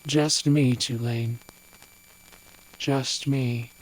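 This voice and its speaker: Slow, imperious empress played by a woman. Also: a bass voice, fairly even intonation, noisy background, heavily acted. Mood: cold, resigned. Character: imperious, coolly calculating empress. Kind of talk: scripted audio drama.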